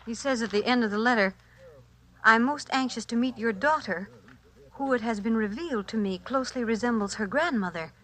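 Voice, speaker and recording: A woman's voice: a little high, fairly quick, a bit bright, natural voice, low-quality recording. A woman acting in a 1940s radio drama as an anxious, placating wife with a hesitant, apologetic manner. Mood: uneasy, placating.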